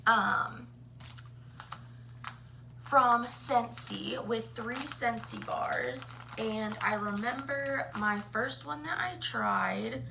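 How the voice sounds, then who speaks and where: A woman, chatting casually to camera in a slightly raspy morning voice, full of ums and likes. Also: mid-range voice, sharp tone, slight background noise, rough recording. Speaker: vlogger.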